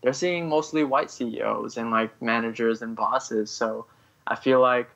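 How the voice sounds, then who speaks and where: A man originally from Vietnam, a guest writer on a podcast interview, talking haltingly and leaning on "you know" between thoughts. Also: medium pitch, fairly even intonation, vocal fry, fairly bright tone, lo-fi sound.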